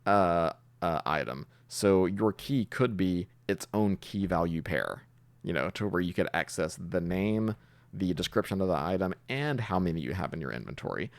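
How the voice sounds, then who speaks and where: Very deep, gravelly-voiced man teaching. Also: animated, no room sound. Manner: thinking aloud, hesitant pauses. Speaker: tutorial host, programming instructor. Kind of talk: casual screen-share coding tutorial, improvised.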